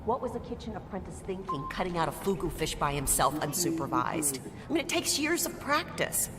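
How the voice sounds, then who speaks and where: A woman's voice: on the low side, sing-song, fairly quick, over music. An actress playing a friendly, chatty hospital staff woman in a video game scene. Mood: gossipy, sympathetic. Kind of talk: video game cutscene acting.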